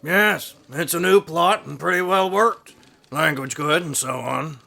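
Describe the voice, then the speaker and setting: A man's voice: a little high, a little slow, gravelly, bright, forceful tone, full character voice. A man playing a curt, businesslike newspaper editor in an audio drama, matter-of-fact and dismissive. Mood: brusque, businesslike.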